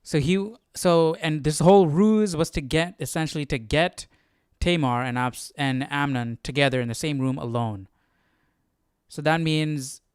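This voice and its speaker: A man's voice: mid-range voice, clean tone, no echo, studio quality. A man hosting an informal Bible study, reading aloud and puzzling through the text hesitantly as he comments. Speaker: host of a live Bible study.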